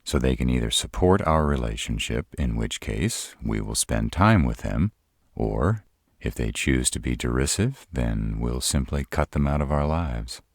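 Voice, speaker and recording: A sarcastic young vampire, played by a man in an audio roleplay, speaking low with some gravel. He is courteous on the surface, dry and defiant underneath, and the recording is close and dry. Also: animated, measured pace, no room sound, full character voice. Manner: polite, dry, defensive. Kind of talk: roleplay audio drama acting.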